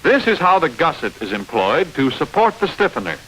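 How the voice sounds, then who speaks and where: A man reading scripted instructional narration for a training film, explaining step by step in a clear manner. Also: fairly high pitch, big pitch swings, fairly quick, a bit bright, rough recording. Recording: hissy old training film soundtrack.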